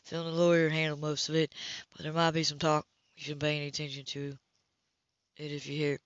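Woman reading as a blunt man, low muffled voice. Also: monotone, fast, no echo, lightly acted. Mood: reassuring. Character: blunt, impatient grown man in romance novel. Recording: dull lo-fi home recording.

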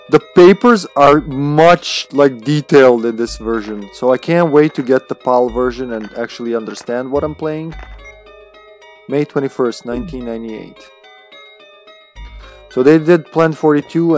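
Low-key streamer, man, music behind. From Serbia. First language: Serbian. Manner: rambling, playful, sweary. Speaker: video game livestreamer. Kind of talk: casual live gameplay commentary with chat.

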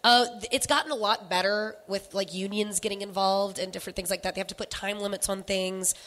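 A woman's voice: medium pitch, fairly even intonation, a bit bright. A young woman, a voiceover actor and singer, talking in a chatty way with lots of laughs on a convention panel, into a handheld microphone. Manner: chatty, laughing, fast asides. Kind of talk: casual panel talk. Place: convention panel room, indoors.

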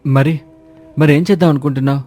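A man playing an apologetic young husband in an animated moral story, earnest, pleading and helpless. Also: a little high, fast, lightly acted.

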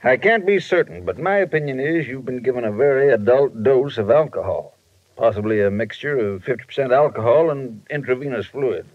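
An actor playing a frank, kindly older doctor in a 1950s radio drama, measured and precise. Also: male voice, medium pitch, dry recording, natural voice. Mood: concerned. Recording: tinny, band-limited vintage radio recording.

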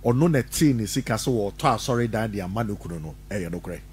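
A middle-aged man from Ghana hosting a radio interview, prompting his guest with short questions and steady "okay"s. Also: on the low side, a little fast, slight background noise. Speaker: radio host. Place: small radio studio. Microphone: studio mic on boom arm.

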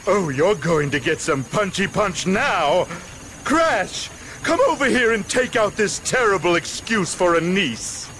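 An actor playing a pompous, petulant mad-scientist uncle in a cartoon video game, ranting with theatrical, over-enunciated delivery. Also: male voice, sits high, expressive, unhurried, fairly bright tone, a little hiss or hum.

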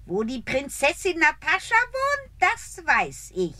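A woman playing a high, lively old witch. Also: slow pace, dry recording, heavily acted. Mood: advising. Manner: exclaiming, animated. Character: old forest witch, gruff but helpful. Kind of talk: audio drama acting.

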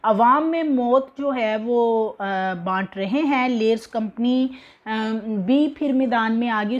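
A woman's voice: fairly high pitch, darkish tone, highs cut off. A young woman with a Pakistani Urdu accent giving spoken news commentary from a living room, talking conversationally with many fillers and an indignant tone. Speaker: news presenter. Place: indoor living room with TV behind.

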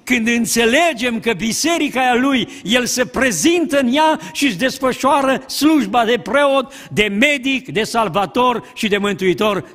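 An older man preaches from the pulpit, his voice pitched high for a man. He speaks in an animated way, and the church hall carries a long reverb behind him. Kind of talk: sermon. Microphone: pulpit mic.

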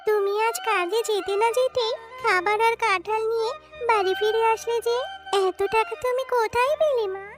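নারীকণ্ঠে খুব চড়া, শিশুসুলভ মিষ্টি কার্টুন চরিত্র. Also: female voice, very high voice, normal speed. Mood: sweet, eager. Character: childlike magical talking jackfruit and little fox girl, sweet, helpful. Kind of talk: children's fairy-tale cartoon dubbing. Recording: loud tinkling children's music behind.

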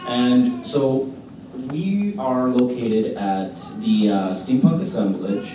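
A man's voice: medium pitch, slow. Brief: jokey male panelist, noisy distant hall recording. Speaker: convention panelist. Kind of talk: casual panel talk. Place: large convention hall room. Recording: echoey hall from far off, background noise.

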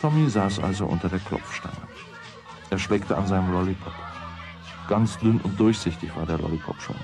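A middle-aged man narrating a children's radio play as a calm storyteller. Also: low-pitched, moderate pace, music in the background. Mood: calm narration.

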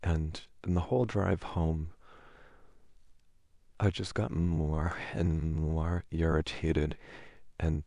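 A man playing a flustered, sheepish, affectionate boyfriend in a hesitant, halting, wordy ASMR roleplay. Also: very deep voice, steady tone, creaky, mellow, no echo, clean studio sound, heavily acted. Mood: sheepish, confiding. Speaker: audio roleplay performer.

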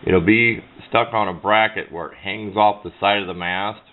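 A man's voice: steady tone, moderate pace. A middle-aged man giving a casual hands-on explanation in a rambling, plain-spoken way, correcting himself as he goes. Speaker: hobbyist demonstrating a homemade radio antenna build. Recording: low-fi muffled sound with clear background noise.

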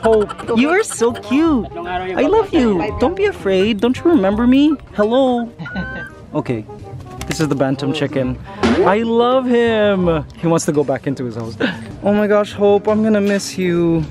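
A man in his thirties vlogging on a farm, excitable and chatty, cooing at a chicken in baby talk from a handheld camera. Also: high voice, a little slow, music in the background. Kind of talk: casual vlog. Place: outdoors on a farm.

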